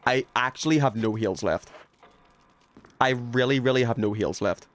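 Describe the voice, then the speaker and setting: A man's voice: medium pitch, moderate pace. A young adult man livestreaming a video game, chatting casually and playfully with his viewers, teasing and swearing lightly. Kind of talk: casual chat while playing a horror game, reading and answering chat.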